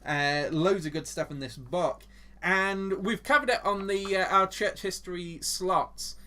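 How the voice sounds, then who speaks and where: A man's voice: sits high, a little slow, bright, forceful tone. An enthusiastic man with an English accent, chatting about church history as a co-host, with lots of restarts. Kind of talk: casual Bible teaching chat.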